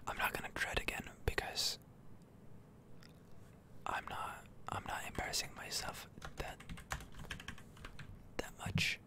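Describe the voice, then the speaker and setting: A man giving hushed, halting game commentary for ASMR in a breathy whisper, close to the microphone. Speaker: gaming ASMR streamer.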